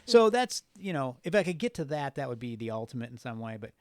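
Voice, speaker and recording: Man, medium pitch, casual rambling talk, unfiltered, sweary, podcast guest, very dry sound. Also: very animated, quick pace, darkish tone, dry recording. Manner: loose, unfiltered, profane. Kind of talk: casual, rambling conversational storytelling.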